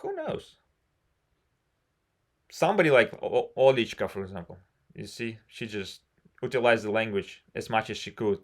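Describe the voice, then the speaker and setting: A man's voice: lowish voice, sing-song, moderate pace, long pauses. Rambling Russian-accented man. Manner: rambling and frank, many "you know" fillers. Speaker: civil engineer. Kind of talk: casual conversation practice. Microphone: video call.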